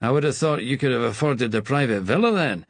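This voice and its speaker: Guy putting on a fast scottish orderly, friendly but kinda dry, words run together, pitch jumps around. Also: lively intonation, no room sound. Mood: conversational. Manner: quick, words running together, amused. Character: young male Scottish hospital attendant, friendly, wry.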